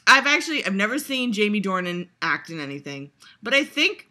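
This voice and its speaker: A woman's voice: mid-range voice, big pitch swings, fairly quick, pushed and bright. A woman vlogger giving a casual, exasperated, profane rant, full of fillers and restarts. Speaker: vlogger reviewing a trailer. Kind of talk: casual ranting reaction.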